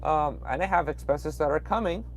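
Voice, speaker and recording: A man's voice: on the high side, moderate pace, a little hiss or hum, rough recording. Peruvian-accented man chatting from his truck. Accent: Peruvian Spanish. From Peru. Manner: rambling, hesitant, with frequent fillers and restarts. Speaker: truck driver livestreaming. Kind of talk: casual livestream chat reading viewer comments. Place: inside a parked truck cab at night.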